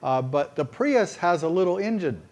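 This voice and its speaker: A middle-aged man with a Texas accent preaches in a folksy, storytelling way. His voice sits a little high for a man. He slows down to lean on the phrases he wants to land. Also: expressive, darkish tone. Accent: Texan. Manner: folksy and conversational, with emphatic slowed phrases. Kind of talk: sermon-style storytelling.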